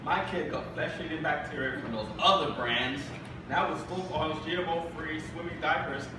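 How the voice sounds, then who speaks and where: A man's voice: fairly high pitch, normal speed, close to an everyday voice. Man as an earnest dad, distant and echoey. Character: earnest testimonial dad in a parody advert. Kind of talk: live staged reading of commercial parody. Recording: echoey, noisy phone recording from the audience.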